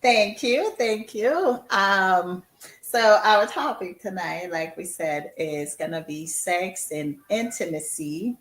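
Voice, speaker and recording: A woman hosting a chat stream, introducing guests and the topic in a measured way. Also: medium pitch, a bit bright, roomy sound. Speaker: host.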